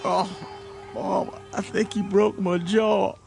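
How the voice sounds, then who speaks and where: An actor playing a sore, whiny young bully with a rural American accent in a radio drama. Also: male voice, sits high, little pitch movement, takes it slowly, creaky, over music, exaggerated voice. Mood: hurt, bitter. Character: sore, whiny young country bully nursing wounded pride.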